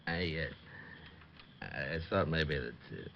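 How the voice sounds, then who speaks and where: A man's voice: extremely low pitch, creaky, a little hiss or hum, full character voice. An actor playing a taunting, unhinged woman-hating killer in an old-time radio crime drama. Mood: coy, murmuring. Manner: chuckling, taunting. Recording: muffled vintage radio recording, faint organ music.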